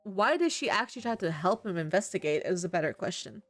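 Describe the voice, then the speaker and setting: A woman's voice: on the low side, animated, fast talker, dry recording, faint music behind. A woman streaming a visual novel, reading the game's dialogue aloud and reacting casually.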